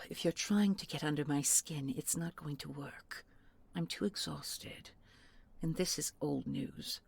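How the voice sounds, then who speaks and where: A woman acting in a sci-fi audio drama as a weary, bitter, sarcastic agent, with a husky voice and a biting, defensive manner. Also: low voice, measured pace, full character voice. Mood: weary, guarded. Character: weary, bitter, sarcastic female agent under emotional strain.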